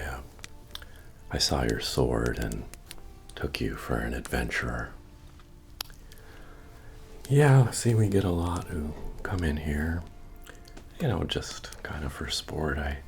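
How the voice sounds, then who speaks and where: A man playing a friendly, humble medieval innkeeper and retired adventurer in a soft-spoken, folksy ASMR fantasy roleplay, with hesitant murmuring. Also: slow pace, long pauses, full character voice. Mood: folksy, cautioning. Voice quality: deep, gravelly, often breathy or whispered. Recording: music under the voice. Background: medieval bard music.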